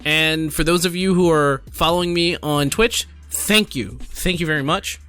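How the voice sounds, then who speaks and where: A man's voice: on the high side, sharp tone, smooth. A tipsy man giving casual, rambling reaction commentary as a streamer, exclaiming and addressing viewers directly. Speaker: streamer and gaming video host.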